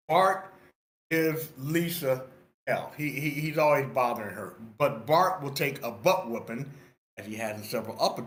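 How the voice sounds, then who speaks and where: A man's voice: mid-range voice, fairly bright tone. An older man giving casual, blunt and enthusiastic spoken critique on an online meeting. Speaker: script feedback participant. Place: indoors on an online meeting call. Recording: roomy echoing online meeting audio.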